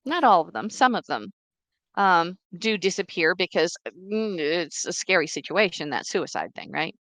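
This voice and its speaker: A woman's voice: on the low side, moderate pace, dry recording. A middle-aged woman whose first language is Czech, steadily telling her story as a podcast guest. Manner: earnest, steady storytelling with quoted voices. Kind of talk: interview storytelling.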